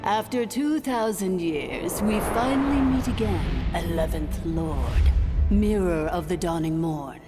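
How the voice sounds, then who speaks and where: A woman's voice: on the low side, unhurried, over music, natural voice. A woman voicing a solemn, commanding ancient priestess in a video game, with a stately, archaic manner. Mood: grandly greeting. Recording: long hall reverb.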